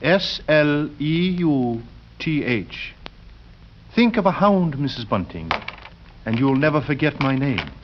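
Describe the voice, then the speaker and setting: A man playing a pious, weary and mysterious gentleman lodger with a sharp temper, speaking formally and deliberately in 1940s radio drama style. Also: fairly high pitch, very animated, a little hiss or hum. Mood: weary, solemn. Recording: muffled vintage 1940s radio recording.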